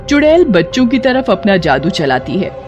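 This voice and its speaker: A woman's voice: mid-range voice, very animated, normal speed, highs cut off, music in the background. A woman delivering scripted narration for a horror story.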